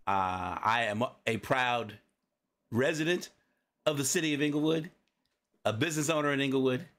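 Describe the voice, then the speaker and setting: A friendly man at a mid-range pitch opens a live community briefing and introduces himself and his co-host. He speaks in a measured way with the odd filler, over a video call mic. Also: a bit bright.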